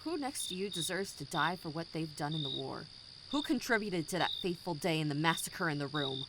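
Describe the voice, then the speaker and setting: A woman's voice: low-pitched, big pitch swings. Woman playing a cold, vengeful rebel commander, noisy backdrop. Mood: cold, threatening. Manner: menacing, formal, deliberate. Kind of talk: audio drama acting.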